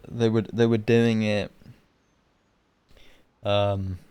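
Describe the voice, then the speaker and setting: A man's voice: lowish voice, little pitch movement, extremely slow, warm tone, clear, resonant tone, no echo. A man streaming a video game with casual live commentary, rambling in an offhand, slangy way. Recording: faint game music behind. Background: faint game music.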